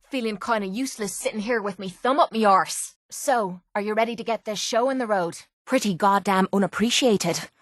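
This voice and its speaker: An actress voices a tough young Irish woman, a video game companion with a blunt, sardonic tongue. She sits at a middling pitch, close and dry, with a gruff, informal manner and a vulnerable streak under the bravado. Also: normal speed, hardly any pauses, no echo, lightly acted. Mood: brash, impatient. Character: brash, foul-mouthed young Irish woman brawler, tough but vulnerable.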